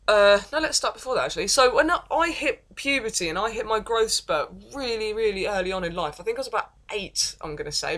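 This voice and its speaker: Deep-voiced English woman rambling. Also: a bit bright. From England. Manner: rambling and self-deprecating, with many false starts. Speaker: vlogger. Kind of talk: casual confessional vlog, reading from notes.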